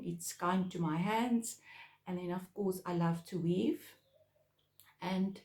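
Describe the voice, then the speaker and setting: A woman's voice: on the low side, darkish tone, roomy sound. A woman from South Africa, with Afrikaans as her first language and an Afrikaans accent, chatting casually and hesitantly to her viewers as a craft podcast host. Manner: hesitant, many pauses and fillers.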